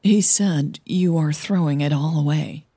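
A woman voicing an earnest, cautioning female coach in an audio drama, measured and persuasive. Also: sits low, dark tone, breathy edge, dry recording, studio quality, exaggerated voice. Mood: hesitant, earnest.

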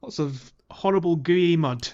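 A man's voice: on the high side, a little slow, darkish tone, muffled recording. A man co-hosting a casual video game podcast, talking in an informal, somewhat hesitant way. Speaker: podcast host.

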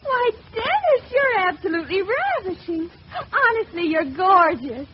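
A woman's voice: extremely high pitch, sing-song, a little slow, heavily acted. An actress playing a bossy, wheedling teenage girl in a theatrical 1940s radio comedy style. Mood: gushing. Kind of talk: 1940s radio sitcom acting. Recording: dull, noisy old radio recording with studio audience.

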